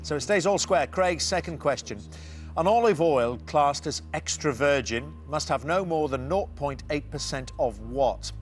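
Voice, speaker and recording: A man hosting a television quiz show, with a brisk, lightly teasing manner as he reads questions and chats with contestants. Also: on the high side, lively intonation, faint music behind.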